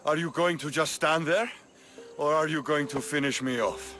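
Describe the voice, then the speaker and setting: A man playing an intense, earnest Spartan warrior and love interest in a cinematic video game, speaking with solemn, intimate, measured phrasing. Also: on the high side, bright, forceful tone, soft background music. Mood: fierce, exerted.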